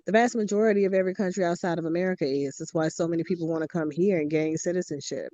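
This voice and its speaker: A man's voice: on the high side, rapid-fire, dark tone, no room sound. A middle-aged man whose first language is Finnish, a therapist explaining his views at length in a panel debate, on dull, dry lo-fi audio. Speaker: therapist guest.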